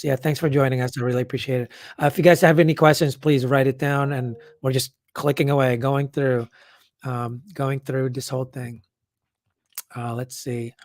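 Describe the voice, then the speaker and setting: A man with a hoarse, worn-out voice hosting a casual live Q&A, rambling with lots of fillers and restarts as he answers comments. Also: medium pitch, darkish tone, no room sound, clean studio sound. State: hoarse, losing his voice. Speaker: event organizer hosting a live update. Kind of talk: casual livestream Q&A.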